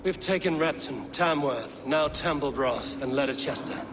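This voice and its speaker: An actor playing a steadfast Viking warrior leader in a video game, blunt, firm and measured in delivery. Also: male voice, a little high, background noise. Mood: pressing. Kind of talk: dramatic video game acting. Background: music.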